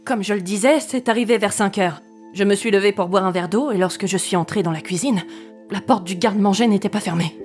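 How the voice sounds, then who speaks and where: A man puts on a distraught housewife for a comic audio serial. The voice is high, plaintive and melodramatic, a naive and fussy mother, with some music behind. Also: a little fast. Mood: indignant, earnest. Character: distraught, daft housewife and mother, adult woman. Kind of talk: comedic French audio drama acting.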